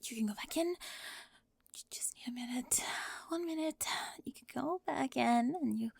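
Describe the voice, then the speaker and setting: A woman playing a bossy, high-strung, organised teenage girl in an ASMR roleplay, fussy and emphatic, often dropping into breathy whispers. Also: measured pace, a little mellow, dry recording, exaggerated voice. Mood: vulnerable, anxious. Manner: fussy, emphatic, flustered, clipped.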